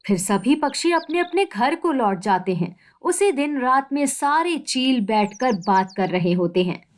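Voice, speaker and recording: A woman's voice: high voice, animated, a little slow. A woman narrating a scripted children's story.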